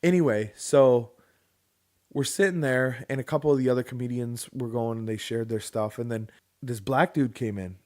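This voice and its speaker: A man's voice: mid-range voice, normal speed. Brief: man, late 30s, casual podcast co-host, rambling storyteller, mid-range voice. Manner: rambling, animated, acts out quoted people.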